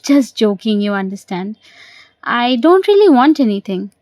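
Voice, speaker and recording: A woman's voice: a little high, very animated, moderate pace, a little mellow, no room sound. A woman voicing a polite, curious young man, a prospective son-in-law, in an audio play. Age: young adult. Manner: earnest, deferential, questioning.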